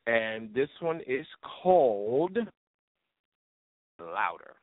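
A man's voice: medium pitch, very animated, drawn-out words. A man hosting an internet radio show in a casual, informal, rambling, slangy way. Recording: roomy, phone-like internet radio line.

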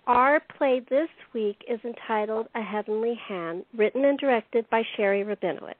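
Radio play narrator: woman host, higher-pitched, deliberate scripted read, dry phone-quality audio. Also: dry recording.

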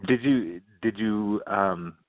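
A young man hosting a baseball talk show, eager and halting, with many restarts and nervous laughs. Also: lowish voice, dry recording. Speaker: podcast host. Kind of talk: casual interview. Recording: tinny phone-quality line, dry.

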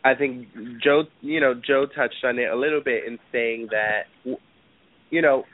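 A man's voice: medium pitch, fairly even intonation, moderate pace, a little hiss or hum. A man from New York, a guest in a casual discussion, talking animatedly with frequent laughs and fillers. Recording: dry, tinny phone-like line.

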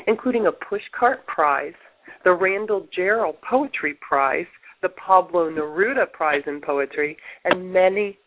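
A woman host with a slight head cold, speaking in a measured, polite way as she introduces a guest and interviews him. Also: fairly low pitch. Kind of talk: reading an introduction, then interviewing. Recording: narrow phone-line audio.

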